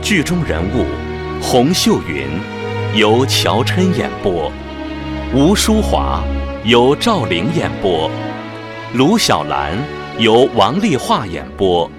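Casting: male announcer, mid pitch, very animated intonation, slow formal credit reading over clear background music. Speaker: radio drama announcer.

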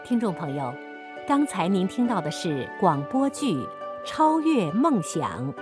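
A woman's voice: mid-range voice, sing-song, a little slow. A woman reading formal scripted credits as a radio drama announcer, with music behind her.

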